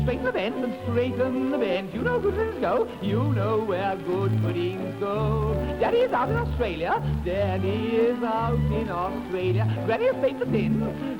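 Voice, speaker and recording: A man's voice: extremely high pitch, heavily acted. A man playing a motherly pantomime dame, leading a children's singalong in theatrical, jolly, coaxing music hall style. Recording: dull archive recording with loud music.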